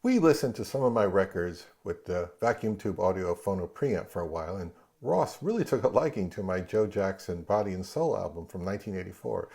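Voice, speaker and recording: A man's voice: sits low, creaky, a little mellow. A man speaking as the host of an audio review, interviewing and narrating.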